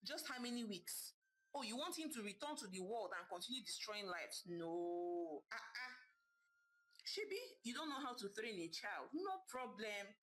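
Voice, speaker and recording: A woman's voice: medium pitch, lively intonation, unhurried, a bit bright, poor audio. A woman voicing a vengeful young woman who answers scornfully. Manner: scornful, commanding.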